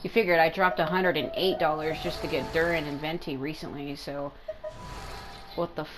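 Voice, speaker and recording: A woman's voice: low-pitched, fairly even intonation, moderate pace, background noise. A woman giving casual, rambling gameplay commentary in an informal, repetitive way, with offhand swearing. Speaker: gamer hosting a let's play video. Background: game music.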